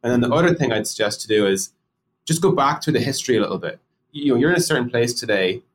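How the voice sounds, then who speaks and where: A man's voice: medium pitch, expressive, fast. A man co-hosting a casual podcast, talking animatedly and firing off rhetorical questions as he acts out colleagues. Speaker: podcast co-host discussing product.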